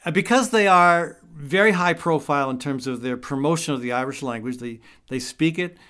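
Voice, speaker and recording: A man's voice: on the high side, brisk, sharp tone. A man hosting a radio talk show, chatting casually and rambling with many fillers and restarts.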